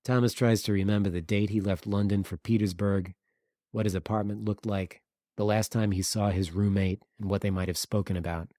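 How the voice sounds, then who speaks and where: A man's voice: lowish voice, steady tone, fairly quick, dark tone, dry recording, studio quality. A man narrating a work of fiction as a scripted audiobook.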